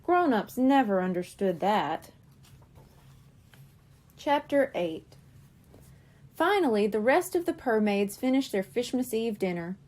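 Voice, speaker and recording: A woman reading a children's storybook aloud with expressive, lively storytelling. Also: a little high. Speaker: children's book reader.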